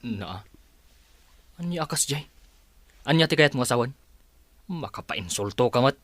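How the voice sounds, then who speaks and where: A woman's voice: very deep voice, lively intonation, a little slow, no room sound, voice pushed down, full character voice. A woman voicing a blunt, scornful gossiping neighbour in a radio drama.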